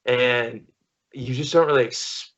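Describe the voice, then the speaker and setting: A man's voice: medium pitch, fairly even intonation, slow pace, fairly bright tone. An American man in his thirties telling stories in a casual, rambling way as an interview guest on a video call. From small-town Missouri, USA. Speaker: podcast guest, stuntman and actor. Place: living room at home, on video call. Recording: slightly dull video call audio.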